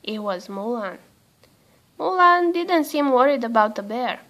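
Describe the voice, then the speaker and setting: High-voiced woman reading a children's story slowly. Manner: expressive, measured storytelling for children. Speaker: storybook reader. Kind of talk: read-aloud children's storytelling.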